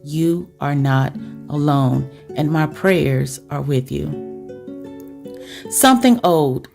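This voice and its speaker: A woman's voice: sits low, takes it slowly, creaky, a little mellow, soft background music. A woman podcast host talking directly to her listeners in a chatty, confiding girlfriend-to-girlfriend way, with rhetorical questions.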